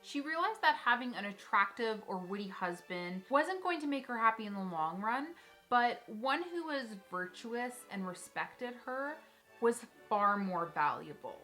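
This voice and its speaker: Female video host, casual storytelling, chatty and expressive, bright voice, background music. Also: fairly high pitch, unhurried.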